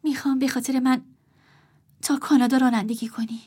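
A woman's voice: sits high, flat delivery, mellow, breathy edge, pitched above the performer's own voice. A woman voicing a desperate young woman, pleading earnestly with her brother.

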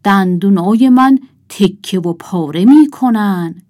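Storybook wolf, performed by a woman: gruff braggart, menacing and grumbly. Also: mid-range voice, lively intonation, dark tone, close to an everyday voice. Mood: boastful menace. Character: boastful grumbling toothy toy wolf. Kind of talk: children's storybook character.

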